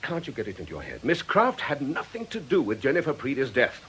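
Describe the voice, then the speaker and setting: A man's voice: mid-range voice, wildly swooping pitch, a little fast, a bit bright, breathy edge, heavily acted. An actor playing an earnest, defensive male vicar in a British radio drama, articulate, courteous and pleading. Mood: indignant, impassioned.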